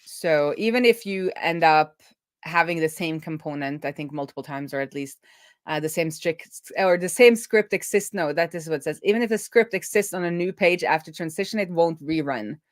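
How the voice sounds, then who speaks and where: A woman's voice: deep voice, normal speed, dry recording, clean studio sound. A woman chatting casually and informally on a livestream, thinking aloud as she works. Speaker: livestream co-host and web developer. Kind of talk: casual livestream chat while working through code.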